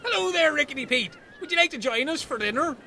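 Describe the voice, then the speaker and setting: A man playing an excitable, anxious, chatty young man in a comedy audio play. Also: extremely high pitch, fast talker, a little hiss or hum, full character voice. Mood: friendly.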